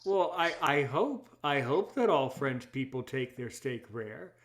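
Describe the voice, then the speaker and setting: A man's voice: medium pitch, animated, a little slow, over a noisy crowd. Emphatic man hosting an interview. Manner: emphatic, repeats words and phrases. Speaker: podcast host; English as a second language teacher. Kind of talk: conversational interview.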